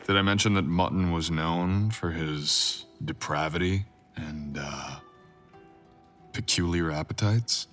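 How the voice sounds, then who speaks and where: Man playing a very deep-voiced brooding supernatural boyfriend. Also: takes it slowly, a bit bright, faint music behind. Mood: sly, intimate. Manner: intimate, teasing, drawling. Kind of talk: boyfriend ASMR audio roleplay.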